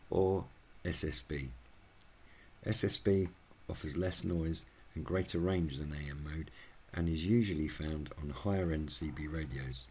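A man narrating a scripted explainer as a video host, reading in a measured way. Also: very deep voice, slight background noise. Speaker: YouTube channel host.

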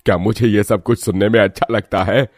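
A man's voice: lowish voice, steady tone, fairly quick, no room sound, studio quality. Reproachful, proud village father played by a man. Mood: hurt, reproachful. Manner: reproachful, rhetorical questioning. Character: poor, proud village father, scolding and hurt.

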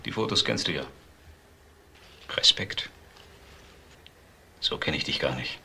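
A bitter, betrayed husband in a crime radio play. His low voice is sharp and controlled, dripping with sarcasm, over faint background noise. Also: animated, slow pace, creaky, heavily acted. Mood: cold reproach. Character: cold, bitter betrayed husband, an upper-class doctor. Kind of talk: crime radio drama acting.

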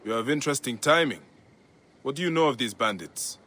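An actor playing a stern, principled male desert warrior and protector in a video game, speaking in a formal, measured way. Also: mid-range voice, expressive, bright, forceful tone, husky. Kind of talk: dramatic game cutscene dialogue.

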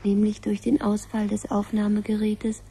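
An actress playing an impersonal announcer, reading an official crisis report in a detached, formal way in a 1970s radio drama. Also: female voice, mid-range voice, completely flat delivery, normal speed, dark tone, husky, poor audio. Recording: 1970s radio production, faint background noise.

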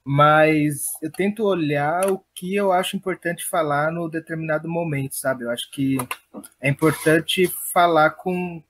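A man with a São Paulo accent gives reflective interview answers. His voice sits a bit high and has a husky, unclear grain. He feels his way through sentences, hesitating and tagging phrases with small fillers. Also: a little slow, fairly bright tone. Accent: São Paulo Brazilian. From Perus, São Paulo, Brazil. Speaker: interviewed playwright and theatre director.

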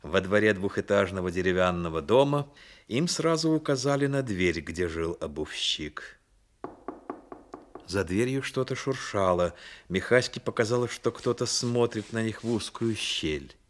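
A man's voice: fairly low pitch, lively intonation, unhurried, slight background noise. A man narrating a radio play as a literary storyteller, with dramatic delivery.

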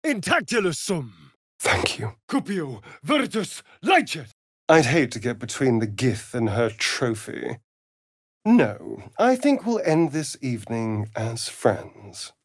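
A man's voice: medium pitch, very animated, slow, slightly rough, no echo, exaggerated voice. A vain, flirtatious male vampire rogue from a fantasy video game. He has a British accent and an arch, theatrical manner, lingering over his words with sweeping, sardonic intonation. The sound is close and dry. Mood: incanting, then cool, dismissive.

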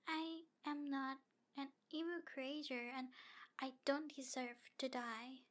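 Slow, high-pitched obsessive demon girl acted by a woman. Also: fairly bright tone. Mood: mocking, hurt. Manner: breathy, halting delivery with whispered phrases and giggles. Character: jealous, obsessive female demon in love, taunting and wounded. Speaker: audio roleplay performer. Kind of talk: ASMR roleplay.

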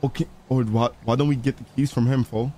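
A man's voice: medium pitch, steady tone, fast talker, mellow, a little hiss or hum. A man streaming a crime role-play game, chatting casually in slang and often repeating his phrases.

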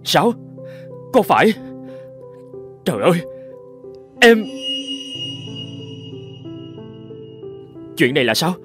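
A man voicing a hearty, friendly, teasing young soldier in a cartoon, expressive and bantering. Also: a little high, a little slow, faint music behind. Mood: astonished. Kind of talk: cartoon dubbing.

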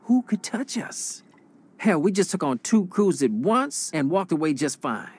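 A man's voice: high voice, sing-song, measured pace, darkish tone, slightly rough, heavily acted. An actor playing a cocky, reckless young man with crime boss ambitions in a video game cutscene. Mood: confident, rallying.

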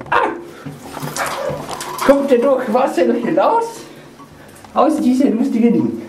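A young man playing a silly foreign tour guide in halting, mock-formal broken German, over-explaining everything to the camera. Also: extremely high pitch, warm tone, full character voice. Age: young adult. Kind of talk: comic room tour to camera. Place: small tiled hotel bathroom. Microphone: handheld camcorder. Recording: echoey tiled bathroom, noisy camcorder.

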